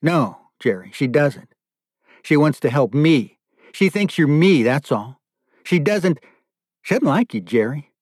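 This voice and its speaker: A man's voice: lowish voice, animated, normal speed, husky, dry recording, studio quality. A man voicing a desperate captive father in an audiobook, weary and pleading yet bitterly defiant. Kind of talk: audiobook character dialogue.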